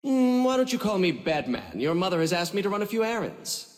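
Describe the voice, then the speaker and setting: A smug anime game villain speaks in a high, very animated voice. He is theatrical and condescending, drawling with mockery. Long reverb surrounds him and music plays underneath. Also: fairly quick. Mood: smug, playful. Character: smug, flippant male schemer, theatrical and condescending. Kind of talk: English anime game dub acting.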